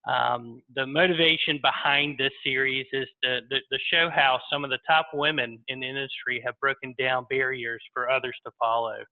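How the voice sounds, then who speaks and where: A man hosting an interview as facilitator, halting and repeating words as he speaks. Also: mid-range voice, steady tone. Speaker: interviewer, recruiting consultant. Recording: tinny phone-like line.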